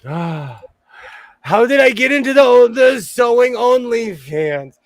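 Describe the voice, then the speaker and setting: A man in his forties hosting a casual sewing livestream, hoarse and losing his voice, joking and laughing often as he reads the chat. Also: extremely high pitch, very animated, slow.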